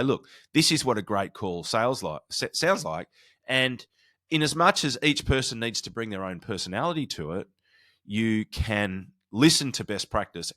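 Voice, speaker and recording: A man's voice: on the low side, a bit bright, dry recording, studio quality. A man speaking as a podcast host and coach, explaining and advising in an informal, conversational solo style with often restarted phrases.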